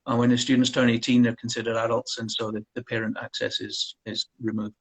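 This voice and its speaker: Guy, husky voice, easygoing interview answers, lots of ums. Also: medium pitch, brisk, rough recording. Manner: relaxed, frequent ums, self-deprecating. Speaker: college counselor guest.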